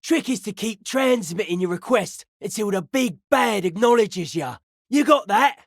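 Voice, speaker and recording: An actor voicing a young, earnest, easily spooked counterculture club kid in a video game, with slangy, deadpan drawl. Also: male voice, high voice, moderate pace, vocal fry, no echo, studio quality, full character voice. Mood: focused, wary.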